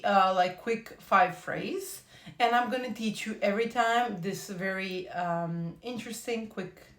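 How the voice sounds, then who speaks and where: A woman from Croatia with a Croatian accent, giving a casual online language lesson and slowly repeating phrases for learners. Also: medium pitch, echoey room. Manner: slow, careful repetition of phrases. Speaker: language teacher.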